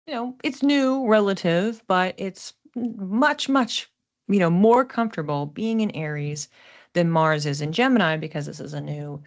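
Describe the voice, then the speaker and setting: A woman hosting an explanatory astrology talk in a conversational, thoughtful way, with expressive emphasis on key words. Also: on the low side. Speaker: astrologer host.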